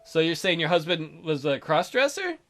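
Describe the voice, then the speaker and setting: Casual let's play host, animated delivery, reads game text aloud over light background music. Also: mid-range voice, normal speed. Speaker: gaming video host. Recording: music behind.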